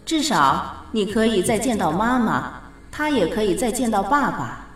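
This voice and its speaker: A heavily echoing goddess of fate speaks with calm authority and very varied intonation. She explains patiently, with faint music behind her in a radio drama. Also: medium pitch, distant mic. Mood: explanatory. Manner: measured, explanatory, gently authoritative. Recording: very echoey reverberant studio effect.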